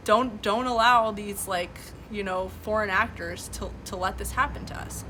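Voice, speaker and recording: Bright-voiced woman, earnest streamer. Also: mid-range voice, moderate pace, a little hiss or hum, rough recording. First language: Farsi. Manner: earnest, lots of fillers and restarts. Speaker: livestream host. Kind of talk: casual political commentary, reading chat. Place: home room with boxes behind.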